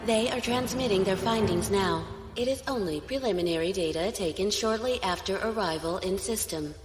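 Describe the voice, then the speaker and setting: A woman playing a dutiful, formal military aide in a science fiction audio drama, giving crisp reports. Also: medium pitch, moderate pace, lightly acted. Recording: echoey hall reverb with loud music.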